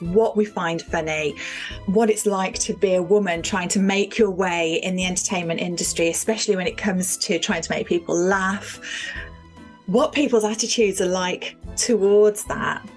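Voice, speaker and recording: A woman's voice: fairly low pitch, normal speed, faint music behind. A woman in her fifties, a comedian, chatting in an interview and repeating words for emphasis. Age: 50s. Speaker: stand-up comedian being interviewed.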